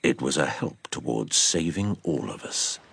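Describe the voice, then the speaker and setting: A man narrating a dramatised adventure story in a theatrical, measured storytelling manner. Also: extremely low pitch, animated, gravelly, fairly bright tone, husky, dry recording.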